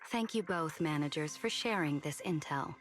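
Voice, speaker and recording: A woman voicing a composed, smooth-talking woman in a video game. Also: deep voice, moderate pace, natural voice. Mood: calm. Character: composed, poised woman, cool and knowing. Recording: game audio under music.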